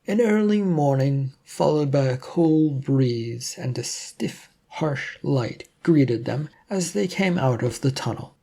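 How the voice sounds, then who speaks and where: Fiction narrator, middle pitch, mellow and husky, reading a scripted audiobook story.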